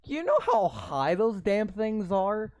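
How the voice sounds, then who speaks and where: Slow-talking sarcastic young gamer man. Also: high voice, huge pitch swings, no echo, clean studio sound. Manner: sarcastic, swears while commenting. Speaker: gamer playing a visual novel. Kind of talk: reading game text aloud with live reactions.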